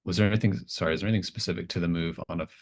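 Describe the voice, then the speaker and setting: A man with a very deep voice runs a tabletop horror game. He improvises the narration casually, pausing and restarting as he builds each image. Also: fairly even intonation. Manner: halting, casual phrasing as he improvises scenes. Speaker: game master.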